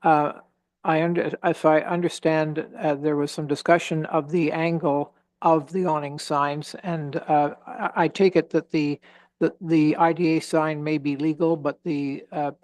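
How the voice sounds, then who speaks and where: Hesitant mayor chairing, dry sound. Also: male voice, a little high, level tone, darkish tone, dry recording. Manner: hesitant, polite, halting restarts. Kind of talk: chairing a council meeting. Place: council chamber.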